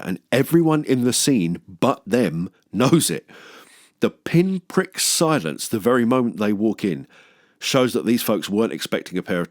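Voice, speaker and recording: A man's voice: fairly low pitch, no echo, studio quality. A man hosting a film review show, reading scripted narration with jokey, theatrical asides.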